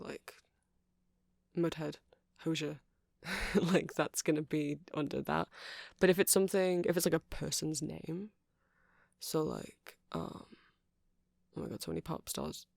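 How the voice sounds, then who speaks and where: Nerdy vampire shop clerk ASMR performer, gravelly fry, dry intimate sound, eager but unsure, rambles and apologises. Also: mid-range voice, fairly even intonation, moderate pace, no room sound. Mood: helpful, rambling. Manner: hesitant, rambling, self-deprecating, nervous little laughs. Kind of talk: ASMR roleplay.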